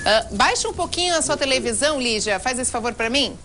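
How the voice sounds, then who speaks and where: A woman with a Brazilian Portuguese accent hosting a call-in TV program, brisk and friendly as she takes calls and reads emails. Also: sits high, expressive, noisy background. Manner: brisk, friendly, reading viewer emails aloud. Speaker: TV show host.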